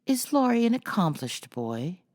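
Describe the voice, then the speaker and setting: A woman's voice: fairly low pitch, big pitch swings, measured pace, a little mellow, dry recording, clean studio sound, pitched above the performer's own voice. A woman voicing a vain young schoolgirl in a storybook reading, dramatic and plaintive. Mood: thoughtful.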